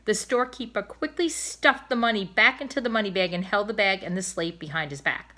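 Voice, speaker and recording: A woman reading a children's storybook aloud in an expressive narrating style. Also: mid-range voice, brisk. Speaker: storybook reader.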